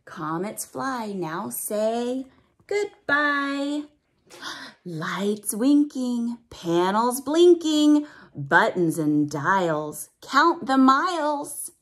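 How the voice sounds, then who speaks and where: A woman with a slightly high voice reads a picture book to small children. She takes her time, drawing out rhyming lines with expression. Between them she explains things in simple, teacherly words and often puts questions to the listener. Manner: drawn-out, expressive reading of the story's rhymes. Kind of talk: animated read-aloud with explanatory asides for young children.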